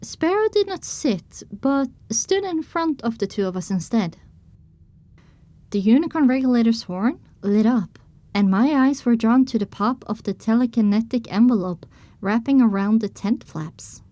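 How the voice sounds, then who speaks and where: A woman reading scripted first-person narration as the heroine of an audio drama, recounting her dark adventure. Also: on the high side, lively intonation, moderate pace, no echo, natural voice. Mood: somber recounting.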